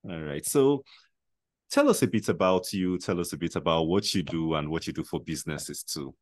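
Deep-voiced podcast host. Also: male voice, animated, moderate pace, dry recording. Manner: upbeat introductions, frequent laughs and short reactions. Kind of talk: conversational interview.

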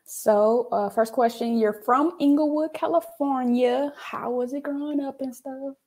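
Woman interviewer with a slightly higher voice. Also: fairly even intonation, measured pace, warm tone. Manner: chatty, casual slang, laughs easily. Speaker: host, animator. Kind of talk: casual interview hosting.

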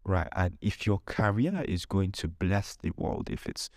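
A man's voice: low voice, animated, measured pace, a little mellow, no room sound, clean studio sound. A man hosting a podcast in an informal advice monologue, speaking emphatically with repeated phrases and rhetorical questions. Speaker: podcast host.

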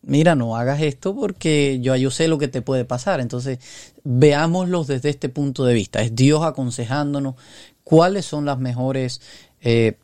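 A man's voice: mid-range voice, unhurried, a little mellow, no room sound. Male co-host, earnest and teaching, recorded dry and close. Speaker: podcast co-host.

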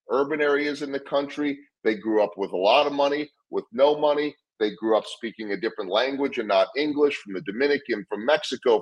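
A middle-aged man with a husky, slightly breathy voice at an ordinary pitch. He talks earnestly as an interview guest, telling anecdotes and laying out ideas. He often restarts, repeating words. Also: low-quality recording. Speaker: guest speaker on leadership. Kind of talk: conversational interview storytelling.

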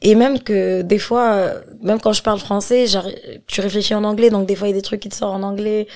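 A young woman from Algeria, a singer, chatting casually in an interview at a medium pitch. She laughs easily and slips Arabic and English words into her French. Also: little pitch movement, fairly quick, a little mellow. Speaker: singer guest.

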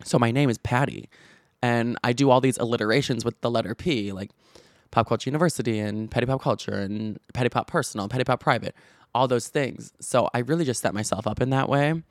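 A man with a stutter chats and gossips as a podcast host, his voice low and gravelly. He fills his talk with likes and restarts, at a middle pitch. The sound is dry and close. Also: fairly quick, dry recording. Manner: chatty, gossipy storytelling with many likes and restarts. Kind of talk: casual chat.

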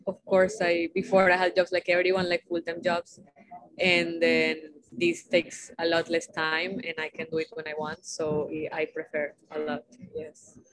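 Venezuelan-accented woman guest, lowish voice, plain and direct answers. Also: completely flat delivery, normal speed, low-quality recording. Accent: Venezuelan Spanish. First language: Spanish. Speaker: guest, virtual assistant. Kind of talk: casual interview answers.

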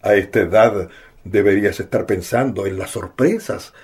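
A man's voice: on the low side, normal speed, a little mellow, breathy edge. A man playing a devoted, tender father in a radio drama, affectionate and coaxing in his delivery. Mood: concerned, tender.

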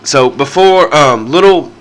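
A man's voice: on the high side, animated, a little slow, low-quality recording. A man hosting a podcast, nasal and congested from a sinus infection, rambling casually through his intro with lots of ums and restarts. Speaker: podcast host.

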